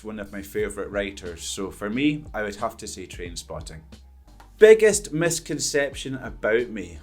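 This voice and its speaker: Upbeat Scottish man, teacher to camera. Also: on the low side. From Scotland. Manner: upbeat and earnest, emphatic stresses. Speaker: English teacher. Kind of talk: direct-to-camera talk answering questions. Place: indoors before a green-screen backdrop. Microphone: studio condenser mic in front.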